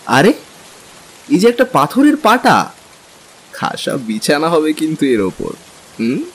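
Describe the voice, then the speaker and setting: Animated, higher-voiced young husband. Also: male voice, slow, a little hiss or hum, heavily acted, rough recording. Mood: surprised. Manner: conversational, questioning, expressive. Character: easygoing young husband, practical, curious and teasing. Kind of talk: dramatized Bengali audio story. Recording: music and rain behind.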